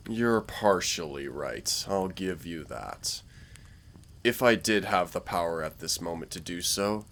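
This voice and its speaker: A man playing a smug, taunting dark warlord villain in a roleplay audio drama, speaking in a theatrical, dryly mocking way. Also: sits low, gravelly, slight background noise, heavily acted. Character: dark warlord villain, younger-looking, sardonic, smug, taunting Lord of Nightmares. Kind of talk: roleplay audio drama, intimate scripted monologue.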